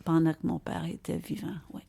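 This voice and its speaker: Older female interviewee, Quebec French accent, creaky lower register, halting casual recollection with stray English words. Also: level tone, mellow. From Quebec, Canada. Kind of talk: casual reminiscing conversation.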